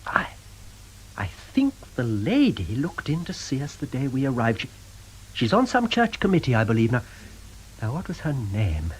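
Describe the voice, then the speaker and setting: An actor playing a mild, apologetic vicar in a radio drama, stammering politely in a husky voice. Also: male voice, medium pitch, wildly swooping pitch, normal speed, poor audio. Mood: searching, unsure. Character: flustered, apologetic, mild-mannered male locum vicar.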